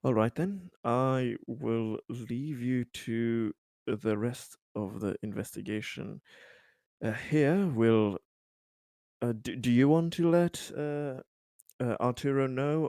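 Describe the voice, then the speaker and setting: A man's voice: mid-range voice, takes it slowly, mellow, no room sound, studio quality. A man playing a tabletop roleplaying game, improvising in character in a hesitant, polite way.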